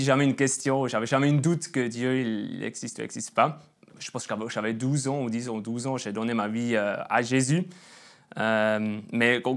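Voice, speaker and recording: A man in his twenties from Switzerland with a Swiss German accent and a Swiss German mother tongue, giving a faith testimony in an interview, hesitant, with many fillers and restarts. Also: medium pitch. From Emmental, Bern, Switzerland. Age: 20s.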